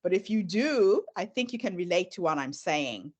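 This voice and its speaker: Lively Zimbabwean-accented woman presenting. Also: fairly low pitch, moderate pace. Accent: Zimbabwean, mixed with American. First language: Shona. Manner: earnest, storytelling, guiding through slides. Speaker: speaker presenting slides. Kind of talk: presentation talk.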